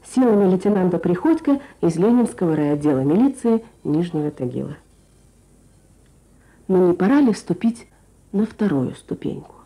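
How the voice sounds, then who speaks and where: Female Soviet-era radio reporter, mellow timbre, lively melodic scripted delivery. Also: fairly low pitch, moderate pace, slight background noise, poor audio. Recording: archive radio broadcast with music.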